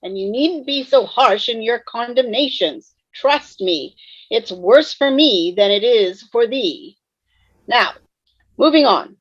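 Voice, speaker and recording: A woman's voice: on the high side, expressive, takes it slowly. A woman playing a pompous, formal male ghost commander in an online table read of a sitcom script. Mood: formal, affronted. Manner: formal, pompous, archaic phrasing. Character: pompous male ghost, old-fashioned military commander rallying troops. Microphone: video call. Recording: dull, roomy video-call sound.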